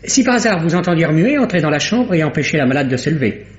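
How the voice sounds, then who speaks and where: A brisk, fast-talking watchful carer. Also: male voice, fairly high pitch, very animated. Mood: curt, wary. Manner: curt, instructing. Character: brisk, watchful carer guarding a sick patient. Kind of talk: old French radio drama acting. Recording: dull, echoey old radio recording.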